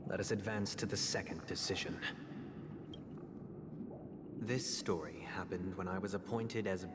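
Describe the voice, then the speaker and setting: A low male voice rings out in long reverb over loud music. It is an actor as a solemn, courteous head of a noble family in a video game, recounting tragedy in measured, formal phrases and pausing for weight. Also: natural voice. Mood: solemn, reflective. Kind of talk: theatrical video game monologue.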